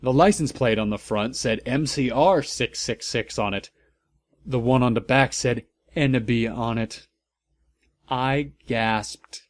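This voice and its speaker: A man narrating a story aloud as a theatrical comedic reading, with mocking asides. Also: medium pitch. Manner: theatrical, deliberately reproducing misspellings. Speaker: narrator and host reading fan fiction aloud.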